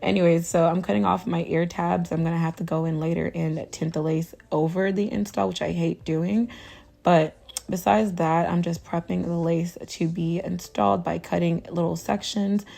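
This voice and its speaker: A young woman with a hoarse, raspy voice from tonsillitis, talking through a hair tutorial in a casual, chatty way with lots of "you know.". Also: lowish voice, normal speed. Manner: chatty, relaxed, full of fillers like "you know". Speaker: beauty vlogger.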